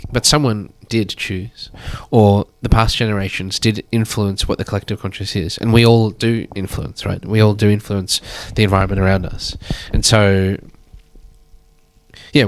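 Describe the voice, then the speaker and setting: A man in his thirties, a guest speaking thoughtfully in a measured, drawn-out way. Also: on the low side. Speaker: guest artist. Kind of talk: reflective conversation.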